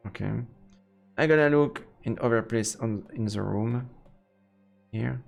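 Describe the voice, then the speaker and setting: A man doing live let's play commentary and reading game text aloud, halting and repeating words as he goes. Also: on the low side. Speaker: game streamer. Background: faint game music.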